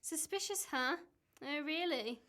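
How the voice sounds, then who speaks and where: A woman's voice: high-pitched, expressive, unhurried, sharp tone, heavily acted. A woman in an amateur radio play acting a suspicious, exasperated wife, accusing and sarcastic. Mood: sceptical, accusing.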